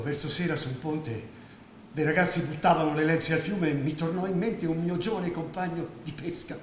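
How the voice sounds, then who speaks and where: An echoey stage recording with faint noise. An actor plays a reflective, educated fugitive in a drama. The man has a slightly high voice and delivers his monologue as measured theatrical storytelling. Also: close to an everyday voice. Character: reflective, educated male fugitive recalling an old comrade.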